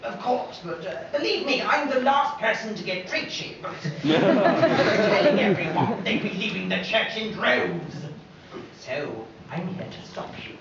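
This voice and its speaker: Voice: mid-range voice, lively intonation, unhurried, creaky, highs cut off, a crowd in the background. A performer in an amateur pantomime playing a pompous, angry, scheming archbishop who declaims theatrically. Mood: scolding. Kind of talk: amateur pantomime stage acting. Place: stage in a large theatre hall. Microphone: far camera. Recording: distant camera in echoey, noisy hall.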